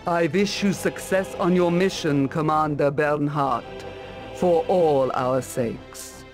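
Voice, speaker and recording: An actor playing a grave, regal ruler of a northern empire in a fantasy game, telling things in a solemn, measured way. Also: male voice, fairly high pitch. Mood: grave, urgent. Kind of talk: fantasy video game cutscene acting. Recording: loud music under the voice.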